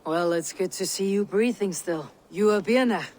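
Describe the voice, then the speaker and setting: A battle-hardened Viking raider woman, acted by a woman, with a mid-pitched voice and a terse, direct manner. She is stoic and loyal, in game cutscene style, over clear ambient noise. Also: moderate pace, a little mellow, lightly acted. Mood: steady, friendly. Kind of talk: video game cutscene acting.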